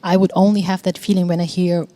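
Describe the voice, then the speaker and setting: A woman in a reflective group conversation, speaking thoughtfully with frequent pauses and a voice that often trails off softly. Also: on the low side, flat delivery. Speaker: discussion participant. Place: exhibition hall in a museum.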